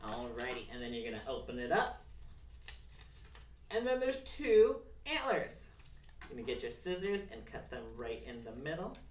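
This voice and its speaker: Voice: mid-range voice, very animated, normal speed. A craft presenter giving simple, encouraging step-by-step instructions to children. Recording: roomy, distant mic, faint noise and clicks.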